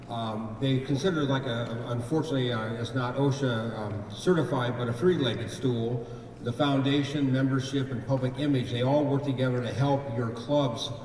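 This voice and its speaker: A man gives a speech at a district meeting, pausing often with fillers. His voice is picked up from a distance and rings through a large hall with a long echo. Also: mid-range voice, a little mellow, slight background noise. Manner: hesitant, many fillers. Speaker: district foundation chair. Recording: echoey hall from far off.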